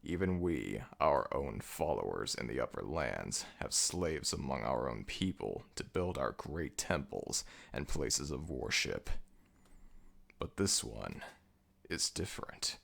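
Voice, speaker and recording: A man playing a commanding, brooding ancient jackal god of the dead in an ASMR audio roleplay, with a gravelly, very deep voice and a slow, imperious delivery. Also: sing-song, a bit bright, full character voice. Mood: grave, explanatory.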